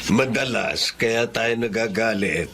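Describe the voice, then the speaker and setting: An actor playing a calm, earnest older grandfather in a Filipino radio drama. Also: fairly low pitch, takes it slowly, creaky, a little hiss or hum, full character voice. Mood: calm, reflective.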